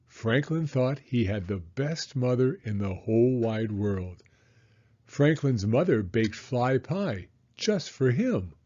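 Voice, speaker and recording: A man narrating a children's storybook aloud in an expressive, measured storytelling manner. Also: medium pitch, husky, highs cut off.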